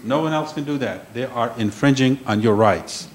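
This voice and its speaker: A man's voice: medium pitch, moderate pace, a little hiss or hum. Male panel speaker, emphatic lecturing delivery, mid-range voice. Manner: emphatic, repeats key phrases, checks in with "okay?". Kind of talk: lecture on intellectual property. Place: conference room.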